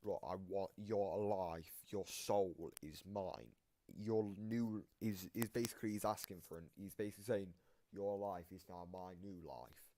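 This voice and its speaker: A man's voice: deep voice, a little slow, mellow, a little hiss or hum. A man giving casual explanatory commentary as host of a reaction video, often restating his point.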